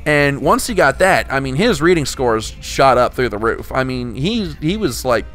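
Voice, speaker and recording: A man's voice: medium pitch, big pitch swings, normal speed, a bit bright, soft background music. A young man hosting a casual podcast, talking in rambling, restart-heavy stretches with some swearing. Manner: rambling, full of restarts and profanity. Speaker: podcast host.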